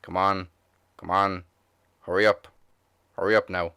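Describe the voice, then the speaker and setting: Man putting on a flat-voiced priest, droning shop announcement, low, gravelly, dry close sound. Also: measured pace, dry recording, heavily acted. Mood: urging. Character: dull-voiced male priest making store announcements.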